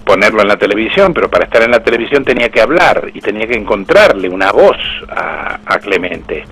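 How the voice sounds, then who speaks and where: Un hombre mayor con acento argentino, actor de voces entrevistado, que cuenta anécdotas con orgullo, con muchas reformulaciones y un timbre algo ronco. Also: male voice, lowish voice, lively intonation, fairly quick, fairly bright tone, husky. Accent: Argentine Spanish. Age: older. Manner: storytelling, proud, with restarts. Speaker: voice actor interviewed. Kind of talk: anecdotal interview talk.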